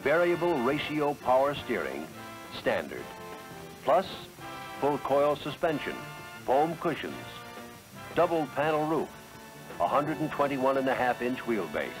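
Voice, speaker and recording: An American man narrates a 1970s promotional film over clear background music. His medium-pitched voice moves with animated intonation, and he delivers the script as a polished, emphatic announcer. The sound is that of a vintage film soundtrack. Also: low-quality recording.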